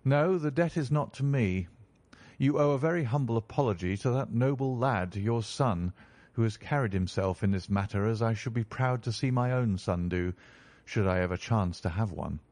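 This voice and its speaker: A man reading a story, voicing a stern, calm male detective in a low, measured, authoritative tone. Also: dry recording, natural voice.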